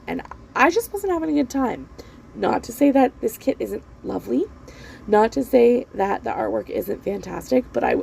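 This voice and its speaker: A woman in her thirties with a croaky voice, recovering from laryngitis, chatting casually in a rambling, self-deprecating voiceover at home. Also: medium pitch, a little mellow, a little hiss or hum, low-quality recording. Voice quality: croaky, gravelly voice. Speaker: planner vlogger. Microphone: voiceover mic.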